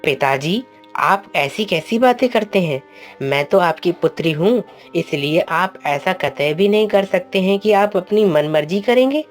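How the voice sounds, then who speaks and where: A woman's voice: on the low side, expressive, measured pace, soft background music. A woman voicing a proud, stubborn young princess who argues with her father in a cartoon story. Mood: indignant. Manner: indignant, defiant. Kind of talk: Hindi cartoon storybook voice acting.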